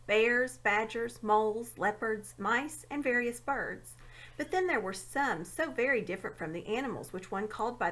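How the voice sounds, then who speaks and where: A woman giving an expressive read-aloud narration of a children's fantasy story. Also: on the high side. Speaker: storybook reader.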